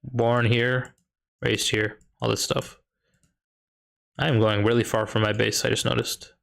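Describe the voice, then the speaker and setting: A man's voice: medium pitch, little pitch movement, a little slow, creaky, fairly bright tone. Easygoing male streamer chatting with his viewers. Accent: Chicago. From United States. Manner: thinks aloud, reads and answers chat questions. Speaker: streamer and high school math teacher. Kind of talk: casual live-stream chat while gaming.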